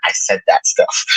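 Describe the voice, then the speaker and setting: A man from Puerto Rico chatting casually as an interview guest, telling stories in an animated way with quoted asides. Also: mid-range voice, slightly rough, no room sound, rough recording.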